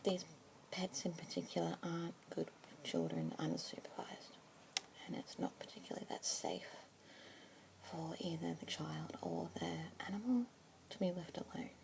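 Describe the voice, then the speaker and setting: A young woman with an Australian accent whispering softly and gently as a pet adoption consultant in an ASMR roleplay. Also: fairly low pitch, sing-song, gravelly. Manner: soft whispering, gentle and unhurried. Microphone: close sensitive ASMR mic. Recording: noisy hiss behind close whisper.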